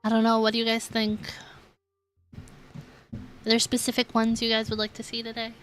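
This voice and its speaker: A woman's voice: fairly high pitch, completely flat delivery, normal speed, clean tone. A woman game streamer chatting casually to her viewers, musing aloud in a giggly, chatty way. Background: game music.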